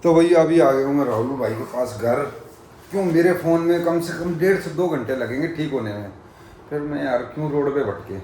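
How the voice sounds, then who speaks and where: A man vlogging in a lively, emphatic chat with a friend. His voice sits a little high, and the room around him is echoey, with a faint hiss of background noise. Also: fairly quick. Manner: animated, emphatic, talks over others. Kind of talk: casual chat.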